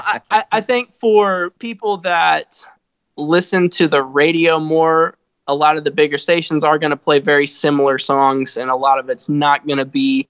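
A man's voice: fairly high pitch, a bit bright, clear, resonant tone, dry recording, muffled recording. A man from the band answering interview questions, hesitant and stammering into his points. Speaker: musician in the band.

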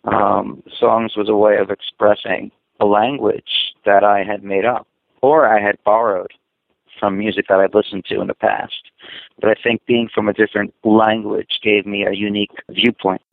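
Gravelly man on a tinny phone line. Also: on the low side, little pitch movement, normal speed, no echo. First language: Greek. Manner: reflective, loose and searching, with restarts. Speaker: musician guest. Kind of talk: casual interview answers.

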